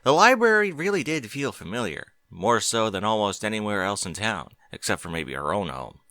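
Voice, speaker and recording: A man's voice: lowish voice, very animated, normal speed, pushed and bright, no room sound. A man reading a story aloud as an audiobook narrator. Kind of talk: scripted fiction narration.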